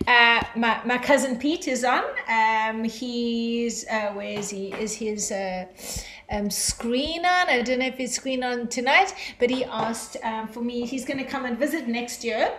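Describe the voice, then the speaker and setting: High-voiced bubbly woman host. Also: measured pace, a bit bright. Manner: bubbly, enthusiastic, many fillers. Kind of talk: casual hosting on a live stream. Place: home kitchen, indoors. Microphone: webcam on a video call. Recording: echoey kitchen with faint noise.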